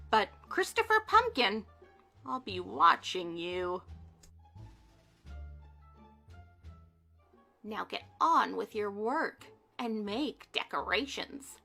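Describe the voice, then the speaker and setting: A bossy, snappish witch barks orders in a storybook read-aloud, as a woman puts her on. Her shrill, scolding voice sits high and leaps widely in pitch. It carries a bright, hard edge, and a little music plays faintly behind. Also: gravelly, full character voice.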